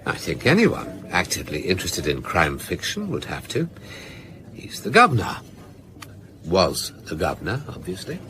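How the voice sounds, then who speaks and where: Deep-voiced, self-assured British novelist. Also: male voice, huge pitch swings, moderate pace, sharp tone, a little hiss or hum, full character voice. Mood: affable. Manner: evasive, drily flippant. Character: smooth, self-assured middle-aged male crime novelist. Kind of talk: naturalistic radio drama acting.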